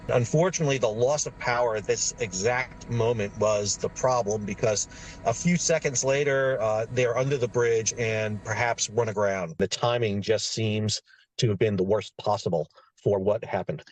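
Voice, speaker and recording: A man's voice: on the low side, moderate pace, a little hiss or hum, poor audio. A man giving explanatory interview answers as an expert for a news report.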